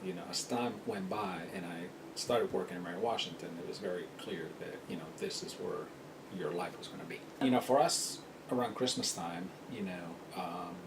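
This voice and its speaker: A middle-aged man from Spain speaking reflectively in a documentary interview, with a hesitant, halting delivery. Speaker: interviewee, university employee. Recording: noisy, rumbling, distant mic.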